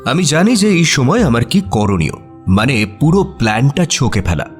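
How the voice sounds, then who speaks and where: An actor playing a detective's good-humoured friend, narrating a radio drama in an expressive, wry, conversational way. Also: male voice, medium pitch, moderate pace, soft background music, lightly acted.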